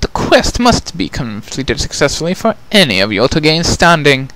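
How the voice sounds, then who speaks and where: A man's voice: fairly high pitch, big pitch swings, sharp tone, pitched above the performer's own voice, heavily acted, low-quality recording. Man acting a stern, imperious insect queen. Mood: commanding. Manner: commanding, deliberate, cold. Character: stern, imperious changeling queen and demanding mother.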